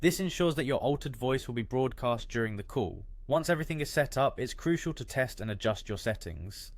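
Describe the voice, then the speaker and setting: A man narrates a scripted software how-to. His voice sits at an average male pitch, with neatly phrased, instructional delivery. The sound is dry, free of any room echo. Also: expressive, brisk. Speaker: tutorial narrator.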